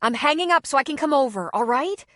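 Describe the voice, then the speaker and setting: A woman's voice: high voice, lively intonation, fast talker, bright, forceful tone, no room sound, studio quality, voice pushed up. A woman voicing a practical adult woman who gives firm, urgent instructions.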